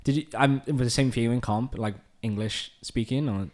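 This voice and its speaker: A man's voice: mid-range voice, brisk. A young man hosting a casual music podcast, chatty and joking, laughing a lot as he talks.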